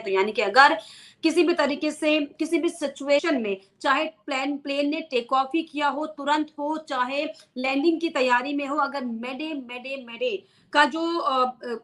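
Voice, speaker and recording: High, bright-voiced woman explaining news. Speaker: news presenter explaining a story. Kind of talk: unscripted news explainer to viewers.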